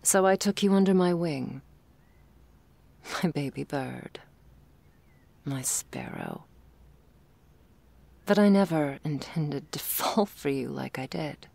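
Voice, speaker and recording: A woman playing a confident, teasing but vulnerable girlfriend in an intimate ASMR romance roleplay, confiding tenderly and haltingly, often dropping into breathy whispers. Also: sits low, darkish tone, full character voice. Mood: tender, confessional.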